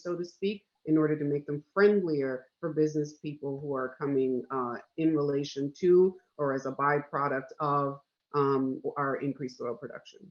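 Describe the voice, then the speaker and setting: An American-accented man from Guyana speaks on a panel over a video call, his voice a little raised in pitch. The audio is muffled and dull. He talks earnestly, with frequent fillers between thoughts. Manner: earnest, measured, with many fillers. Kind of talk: panel discussion.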